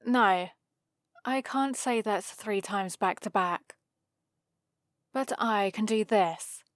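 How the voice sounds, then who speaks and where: A woman's voice: medium pitch, no echo, lightly acted. A woman playing a haughty, sarcastic centuries-old vampire librarian in a scripted ASMR roleplay, with a dry, theatrical delivery. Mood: taunting.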